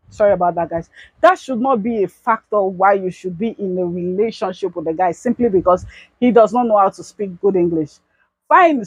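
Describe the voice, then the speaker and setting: A woman's voice: medium pitch, darkish tone, breathy edge, dry recording, low-quality recording. A young Nigerian woman talking casually to her viewers in an advice vlog. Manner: chatty, addresses viewers as bestie. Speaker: YouTube vlogger.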